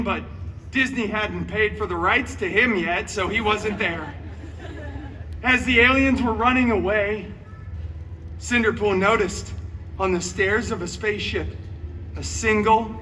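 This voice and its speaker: A man's voice: high-pitched, measured pace, long pauses, slight background noise. A man performing comic live storytelling as a costumed theme park entertainer, theatrical and sarcastic, with wry asides. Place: outdoor theme park show. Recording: distant live amplified sound over loud music. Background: music.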